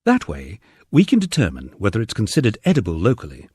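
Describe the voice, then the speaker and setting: A man with a husky voice reading a wry first-person memoir as scripted audiobook narration, dryly humorous and wordy. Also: lowish voice, wildly swooping pitch, fast talker, a little mellow, dry recording, studio quality.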